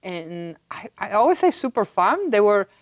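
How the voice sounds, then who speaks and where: A woman's voice: mid-range voice, sing-song, normal speed, dry recording, clean studio sound. A woman from Madrid, chatting casually as an interview guest. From Madrid, Spain. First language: Spanish. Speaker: interview guest, university director. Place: TV studio.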